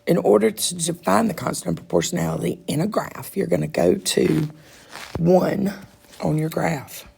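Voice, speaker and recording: A woman with a congested, raspy, froggy throat, patiently walking students through a math quiz step by step as their teacher. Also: lowish voice, warm tone. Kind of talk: recorded math lesson walkthrough.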